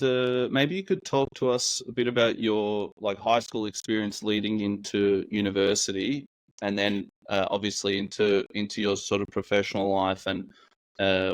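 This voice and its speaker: Male host, lowish voice, casual rambling questioner, dry sound. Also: no room sound, low-quality recording. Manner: loose, rambling questions with fillers. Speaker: interviewer, host.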